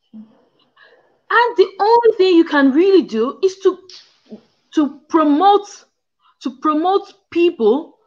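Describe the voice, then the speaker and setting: A young African woman co-hosting a casual discussion from home over a video call, explaining earnestly with examples. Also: high-pitched. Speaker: co-host. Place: indoors at home. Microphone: video call, front camera. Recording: muffled video call audio.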